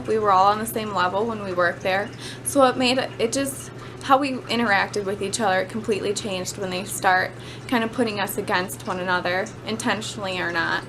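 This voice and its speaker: A woman delivers an informal class speech in a conversational way, with slightly hesitant phrasing. Her voice sits at an average pitch. The amateur camera recording has some noise in it. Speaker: student giving a class speech. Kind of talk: informal prepared speech on personal work experience.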